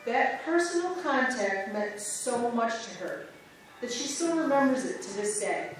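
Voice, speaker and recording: A woman performing reader's theater, reciting scripted church history in a clear, earnest way, in a church. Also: fairly high pitch, animated, unhurried, faint music behind. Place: church sanctuary. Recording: echoey church from far off.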